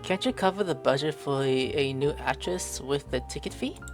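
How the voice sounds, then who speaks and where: Low-voiced woman doing offhand game commentary. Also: moderate pace. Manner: offhand, irreverent. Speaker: gamer reading along and quipping. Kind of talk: casual gameplay commentary. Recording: faint game music behind.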